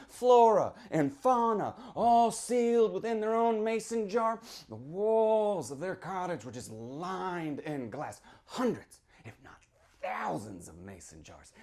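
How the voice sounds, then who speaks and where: A man performing a sly small-town local who tells a witch legend, theatrical and confiding, moving between hushed and declaimed delivery. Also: on the high side. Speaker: author reading from own novel. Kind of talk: dramatic live reading of a horror story.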